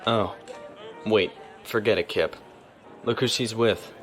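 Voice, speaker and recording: A man's voice: on the low side, slow pace, a bit bright, faint music behind. An actor playing a stiff, formal young male naval officer who speaks properly and reservedly.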